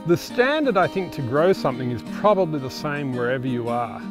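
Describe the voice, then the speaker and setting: A middle-aged man, an urban farmer, speaks in a calm, thoughtful, plain way in an outdoor documentary interview. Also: fairly high pitch, fairly quick. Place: outdoors on a farm. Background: guitar music.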